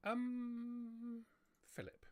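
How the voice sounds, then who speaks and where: A man narrating a tabletop roleplaying game as game master, improvising in a halting way. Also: very high voice, completely flat delivery, a little mellow, dry recording.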